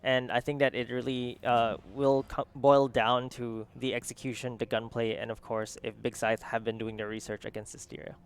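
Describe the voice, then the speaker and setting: A man's voice: mid-range voice, flat delivery, moderate pace, hardly any pauses, a little hiss or hum. A male esports analyst giving panel commentary. He speaks hesitantly, with many fillers and restarts.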